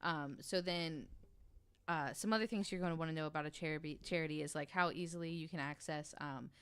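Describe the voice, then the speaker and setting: A woman's voice: sits low, brisk, clean tone. A woman explaining things casually on a podcast, informal and frank in her delivery. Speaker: podcast panelist.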